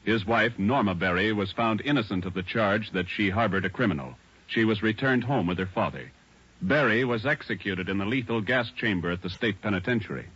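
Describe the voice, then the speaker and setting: Solemn low-voiced radio announcer man. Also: a bit bright. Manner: measured and solemn. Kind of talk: formal scripted announcement. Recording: dull old radio transcription.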